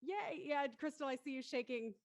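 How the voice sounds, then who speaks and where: A woman with a high voice hosts a live show. Her style is upbeat and conversational, and the recording is dry and close. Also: animated, moderate pace, no echo. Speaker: host of a live audio drama show. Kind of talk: upbeat casual hosting.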